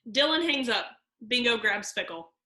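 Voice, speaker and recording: A woman's voice: on the high side, animated, normal speed, sharp tone. A woman narrating stage directions in a scripted comedy table read. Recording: roomy echo.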